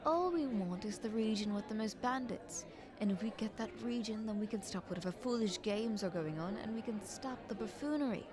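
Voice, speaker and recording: A woman playing a scheming, flattering queen in an audio roleplay, speaking in a haughty, ornate courtly manner. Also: mid-range voice, a little fast, crowd noise, close to an everyday voice. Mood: haughty, persuasive. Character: visiting queen, scheming, flattering, condescending royal negotiator.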